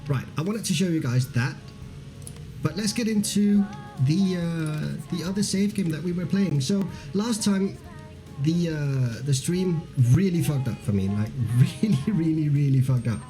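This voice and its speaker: Man, Danish, mixed hard-to-place accent, mellow dark tone, chatty game stream, faint background music. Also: on the high side, lively intonation, measured pace. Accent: mixed, hard to place, with a Danish base. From Denmark. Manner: loose and chatty, with many fillers and restarts; reads viewer comments aloud and laughs easily. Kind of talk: live stream commentary, chatting with viewers.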